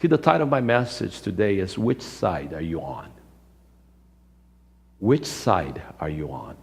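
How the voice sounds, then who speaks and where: Male pastor, fifties, Brazilian accent, low gravelly voice, emphatic sermon delivery with repeated phrases. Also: expressive, a little slow. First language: Portuguese. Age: 50s. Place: church sanctuary. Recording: reverberant hall, live-streamed church service.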